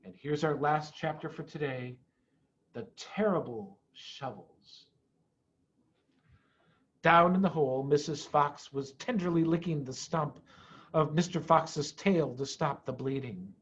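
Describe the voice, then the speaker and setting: A man reading a children's story aloud with dramatic, expressive pauses and emphasis. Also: on the high side, slightly rough, highs cut off. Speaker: storyteller, head of school.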